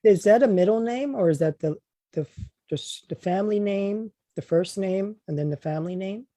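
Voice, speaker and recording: A man's voice: sits high, normal speed, dark tone, no room sound. A man from Puerto Rico hosting a comedy podcast, asking questions and teasing with dry jokes. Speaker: podcast host. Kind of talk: casual comedy podcast chat.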